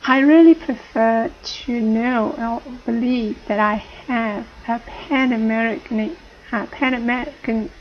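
Middle-aged woman, british colonial accent, slightly high and gravelly, talks slow into a dull lo-fi webcam mic at home. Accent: British colonial English. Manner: slow, deliberate, rephrases herself often. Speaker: vlogger. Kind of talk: casual talk to camera. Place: home room, indoors. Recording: dull lo-fi webcam audio, faint hiss.

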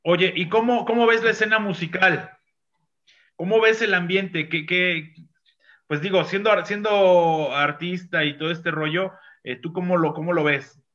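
Guy hosting over a video call, bright voice a bit high, keeps restarting questions, muffled lo-fi audio. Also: fairly even intonation. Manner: halting, restarts questions, informal. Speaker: interviewer host. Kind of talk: casual interview. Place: home room with bookshelves. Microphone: video call webcam. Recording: dull lo-fi webcam audio.

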